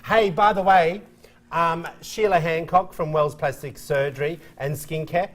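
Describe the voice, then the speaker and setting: A man's voice: fairly high pitch, steady tone, a little slow. A middle-aged man filling in as host of a midday TV talk show, chatting and teasing his co-hosts in a studio, often laughing. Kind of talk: casual studio banter.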